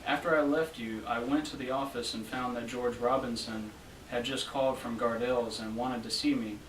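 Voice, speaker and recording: A young man reads an old love letter aloud as a student reader. His voice sits at a middle pitch. The sound is echoey and distant, with faint noise in the room. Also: fairly even intonation, moderate pace. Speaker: student reader at a library event. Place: library room, reading to a small gathering. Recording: roomy, distant event recording with faint noise.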